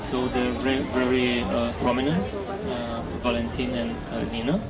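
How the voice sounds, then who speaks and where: Gravelly Bulgarian-accented man, halting. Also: medium pitch, steady tone. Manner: hesitant, halting non-native English. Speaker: gallery owner and curator, interview guest. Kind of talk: casual radio interview. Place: street studio in a gallery space. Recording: loud music and crowd behind. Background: music, crowd.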